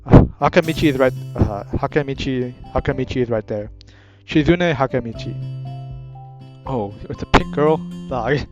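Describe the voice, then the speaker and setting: A man's voice: medium pitch, moderate pace, darkish tone. Casual man reading a visual novel aloud. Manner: self-correcting, chatty asides. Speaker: gaming video creator. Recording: dull sound with guitar music.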